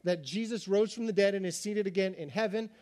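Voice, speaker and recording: A man preaching a sermon as pastor, talking fast and animated, his voice slightly raspy. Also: high-pitched.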